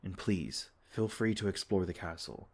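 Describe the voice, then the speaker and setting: A man playing a gentle, protective male fae king in a comforting ASMR roleplay, soft-spoken and reassuring. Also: sits low, moderate pace, noisy background.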